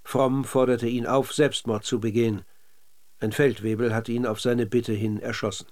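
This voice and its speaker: A man narrating scripted documentary radio in a measured, factual manner. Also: mid-range voice, level tone, a little mellow.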